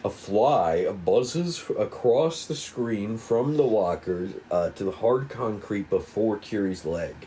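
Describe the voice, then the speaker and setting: A man reading a script's stage directions at a table read, casual and halting, with asides. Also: lowish voice, expressive, warm tone. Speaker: script reader.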